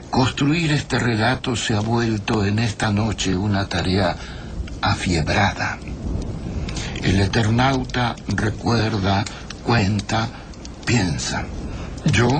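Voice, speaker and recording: A man giving solemn, deliberate literary narration from a script, as a writer-narrator. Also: lowish voice, background noise.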